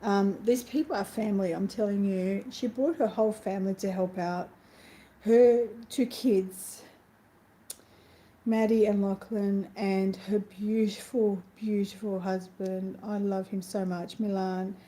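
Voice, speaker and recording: Tearful, gushing woman with a mellow voice. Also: medium pitch, fairly even intonation, unhurried, rough recording. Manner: gushing, emotional, rambling with many restarts. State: tearful and sniffly. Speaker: host of a spiritual live stream. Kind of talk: casual live-stream chat.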